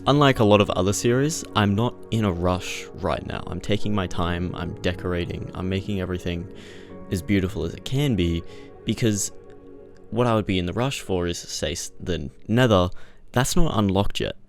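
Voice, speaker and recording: Man, low-pitched gritty voice, casual gameplay narration, jokey and conversational, music behind. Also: moderate pace. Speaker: gaming video creator narrating gameplay.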